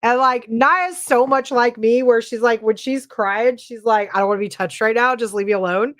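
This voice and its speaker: A middle-aged woman hosting a podcast, talking in a chatty, animated way with lots of filler words. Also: on the high side, moderate pace, dry recording, clean studio sound. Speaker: podcast host. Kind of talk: casual paranormal chat.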